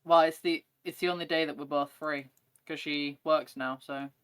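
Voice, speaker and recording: A man's voice: a little high, steady tone, moderate pace, a bit bright, clean tone, dry recording. A man of nineteen, chatting plainly and calling his friends mate. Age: teens. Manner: plain-spoken, calls people mate. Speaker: stream guest. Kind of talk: casual gaming chat.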